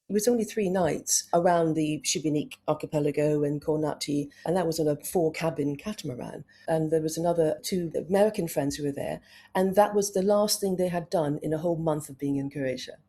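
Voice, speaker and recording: Woman travel writer, fifties; low, warm voice; lively anecdotes. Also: slightly rough. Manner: enthusiastic, anecdotal storytelling. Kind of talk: conversational interview.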